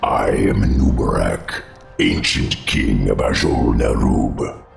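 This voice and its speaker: Abyssal, gravelly ancient undead king. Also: a bass voice, lively intonation, a little hiss or hum, heavily acted. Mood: grave, measured. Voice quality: gravelly, guttural rasp. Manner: solemn, formal, measured. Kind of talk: video game cutscene acting. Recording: reverberant game audio over music.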